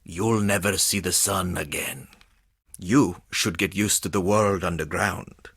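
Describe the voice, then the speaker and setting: An actor playing a menacing, brooding villain in a video game, with a rough voice and a deliberate, ominous delivery. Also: male voice, deep voice, fairly bright tone, no echo, exaggerated voice. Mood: gloating threat. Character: menacing caged male villain, brooding and predatory.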